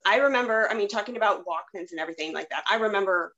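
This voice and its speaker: A woman's voice: mid-range voice, rapid-fire, sharp tone, highs cut off. A woman hosting a casual podcast chat, talking in an effusive, enthusiastic way. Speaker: podcast host.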